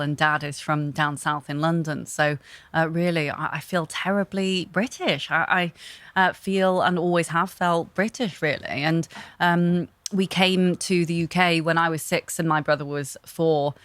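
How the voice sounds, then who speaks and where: A young woman talking as an interview guest, thoughtful and relaxed, often pausing with fillers and restarting. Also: low-pitched, no room sound. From Hong Kong. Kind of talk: casual interview talk.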